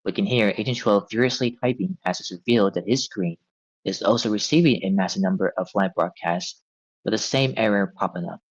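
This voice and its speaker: A man's voice: fairly low pitch, brisk, slightly rough. A man reading stage directions aloud as the narrator of a script table read. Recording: dull, band-limited audio.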